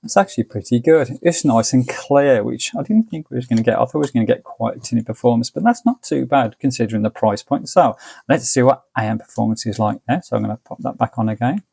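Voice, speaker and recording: A man with an English accent talks through a hands-on review in an easygoing, colloquial way. His mid-pitched voice has a gravelly creak. The recording is dry, with very little room sound. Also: lively intonation, fast, few pauses, warm tone, no room sound. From United Kingdom. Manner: colloquial, easygoing step-by-step commentary. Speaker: gadget reviewer. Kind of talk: casual hands-on product review.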